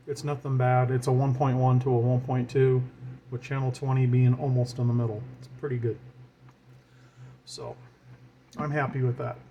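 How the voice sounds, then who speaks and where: A man's voice: medium pitch, dark tone, dull audio. A man giving an informal hands-on tutorial as a hobbyist, thinking aloud while he works. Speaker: radio hobbyist demonstrating his equipment. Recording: clear background noise.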